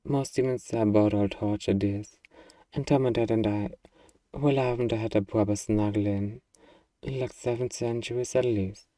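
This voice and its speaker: A man's voice: lowish voice, fairly even intonation, moderate pace, warm tone, dry recording, distant mic, lightly acted. A man playing an eager, bargaining demon who craves a cuddly pet, in a playfully earnest, wheedling ASMR roleplay monologue. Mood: coaxing, pleading.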